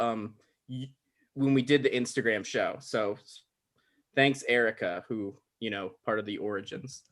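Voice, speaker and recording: A man casually introducing a live online table read as its host, halting and sincere as he goes. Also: medium pitch, fairly bright tone. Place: video call.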